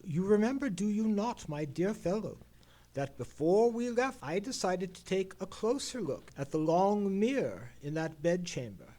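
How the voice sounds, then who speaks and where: An actor playing a knowing gentleman detective in an old-time radio play, speaking in formal, measured phrases with dramatic pauses. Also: sits high, very animated. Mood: knowing, deliberate.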